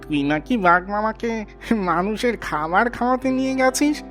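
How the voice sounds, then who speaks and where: A woman's voice: mid-range voice, animated, moderate pace, fairly bright tone, soft background music, lightly acted. A woman voicing a cheeky, teasing monkey in a cartoon. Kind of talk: cartoon voice acting.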